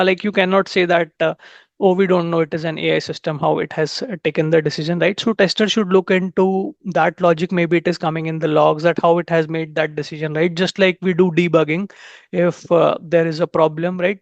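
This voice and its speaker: Gravelly man, flat tone, "right?" after each point. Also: fairly high pitch, no echo, lo-fi sound. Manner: ends nearly every point with a checking "right?"; frequent "uh" fillers and self-corrections. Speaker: conference speaker. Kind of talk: technical talk on testing AI systems.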